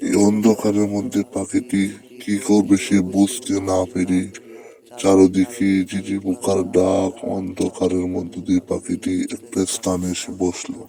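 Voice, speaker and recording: A man with a low voice narrates a children's tale. His delivery is extremely flat, and he uses simple, repetitive wording as he addresses little listeners. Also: normal speed. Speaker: storyteller, narrator.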